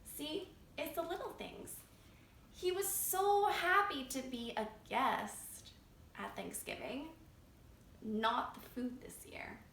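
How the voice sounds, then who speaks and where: A woman reading a picture book aloud to children as a library storytime reader, expressive and engaging them directly. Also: high voice, slow pace. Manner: expressive, asking young listeners questions. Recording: roomy echo, faint background noise.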